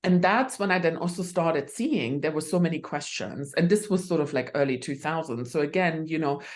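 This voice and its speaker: Woman, low voice, hesitant podcast guest. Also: normal speed. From Germany. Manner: hesitant, many fillers and restarts. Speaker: guest expert. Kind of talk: conversational podcast interview.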